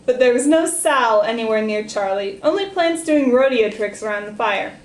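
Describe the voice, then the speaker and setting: A woman's voice: on the high side, lively intonation, normal speed. A woman reading a comic story aloud in a playful, giggly manner. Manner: playful, often breaking into giggles. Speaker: reader. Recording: roomy echo with noise.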